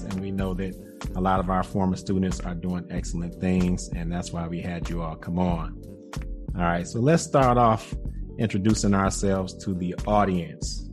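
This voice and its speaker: A man hosting a podcast, friendly and upbeat as he interviews former students. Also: deep voice. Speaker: podcast host. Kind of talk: casual interview.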